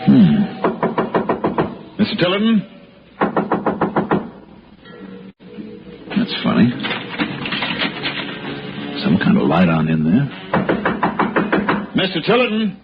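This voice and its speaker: Through dull old radio sound with music behind comes a man playing a shrewd American private investigator. He is dry and unflappable, with an animated, rising and falling delivery in classic noir serial style. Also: mid-range voice, close to an everyday voice. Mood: wary. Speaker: lead actor in a radio detective serial. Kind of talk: 1960s radio noir acting and first-person narration.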